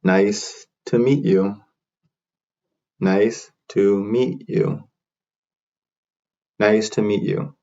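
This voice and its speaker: Guy teacher saying phrases super slow, lowish voice, kinda dull lo-fi sound. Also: steady tone, creaky, warm tone. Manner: repeats short phrases slowly with pauses. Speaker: English teacher. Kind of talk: slow repeat-after-me drill.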